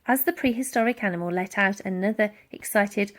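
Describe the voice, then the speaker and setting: A woman reading a children's storybook aloud in a dramatic, expressive narrating style. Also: medium pitch, fairly quick. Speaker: audiobook reader.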